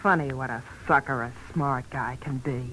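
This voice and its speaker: A woman's voice: very deep voice, moderate pace, fairly bright tone, rough recording. A woman playing a scheming, double-crossing wife in an old radio crime melodrama. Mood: scornful. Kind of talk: 1940s radio melodrama acting. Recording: hissy vintage radio broadcast.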